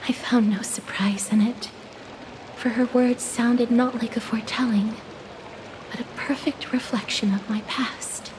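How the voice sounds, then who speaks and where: A woman playing a slow-spoken, gentle, melancholy young heroine. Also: fairly high pitch, steady tone, background noise, natural voice. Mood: sorrowful, resigned. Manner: measured, solemn, formal phrasing. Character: gentle, melancholy young woman resigned to fate, touched by death. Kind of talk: English video game voice acting, dramatic monologue and dialogue. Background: game music.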